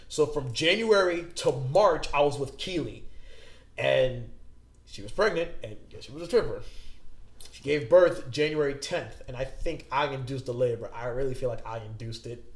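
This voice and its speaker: A man hosting a podcast, telling a story in casual chat, animated and acting out his own thoughts. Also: medium pitch, normal speed, roomy sound. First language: English. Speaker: podcast host.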